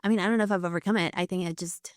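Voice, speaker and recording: Reflective woman, low voice, dry mic. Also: steady tone, rapid-fire, no echo. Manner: candid, reflective, many fillers like "like". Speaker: podcast guest. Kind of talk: conversational interview.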